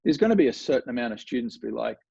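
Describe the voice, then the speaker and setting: A man's voice: medium pitch, animated, racing, creaky, warm tone, husky, dry recording, muffled recording. An Australian man, a golf coach, talking casually in an interview, rambling with lots of fillers and restarts.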